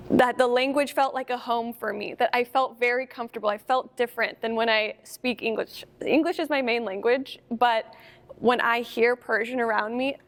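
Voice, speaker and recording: A woman with a slightly high voice speaks thoughtfully during a panel talk. Her sentences come slowly and she pauses often between thoughts. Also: vocal fry. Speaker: artist on a panel. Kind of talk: casual panel conversation.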